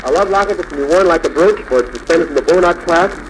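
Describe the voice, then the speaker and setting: An old, clearly noisy radio recording from the 1940s carries a male show host. His voice sits high for a man, and he talks quickly in the presenting style of that era. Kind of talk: 1940s radio hosting, announcing gifts.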